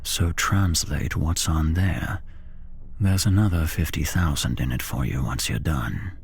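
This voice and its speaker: A man voicing a tall, gaunt, mysterious stranger in a whispered horror story, speaking in a flat, cold, terse manner. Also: a bass voice, unhurried, slightly rough, full character voice. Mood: flat, demanding.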